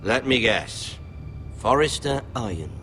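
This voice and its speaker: An actor playing a cruel, sadistically playful young lord in a fantasy game, taunting with deliberate mock politeness. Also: male voice, medium pitch, lively intonation, a bit bright. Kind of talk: dramatic fantasy game acting. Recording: music under dialogue.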